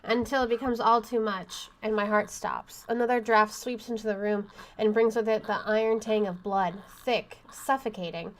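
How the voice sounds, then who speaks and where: A woman's voice: mid-range voice, steady tone, moderate pace. A woman reading a horror visual novel aloud as she plays, in dramatic first-person narration. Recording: faint game music behind the voice. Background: faint game music.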